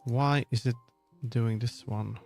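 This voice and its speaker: Guy streaming game dev, casual halting chat, mutters to himself, mid pitch, music playing behind. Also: fairly even intonation, vocal fry, darkish tone. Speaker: livestreamer making a game. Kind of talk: casual livestream chat while working. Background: background music.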